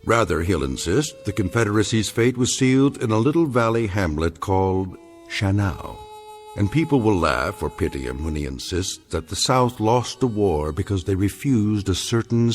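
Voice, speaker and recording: Solemn male anthology narrator, lowish and portentous, measured delivery over music. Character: solemn, ominous male narrator of eerie tales. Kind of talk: scripted radio drama narration.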